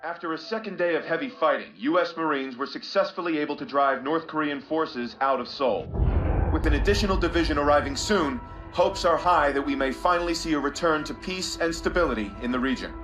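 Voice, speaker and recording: An actor playing a brisk, formal male war news reporter reading a scripted bulletin in a game. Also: on the high side, lightly acted. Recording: music behind the report.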